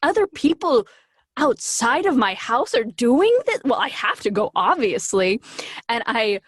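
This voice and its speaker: A woman's voice: a little high, very animated, a little slow, slightly rough, dry recording, studio quality. A woman guest on a podcast chatting casually and effusively, theatrically acting out little quotes and repeating words for emphasis. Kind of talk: casual chat, telling anecdotes.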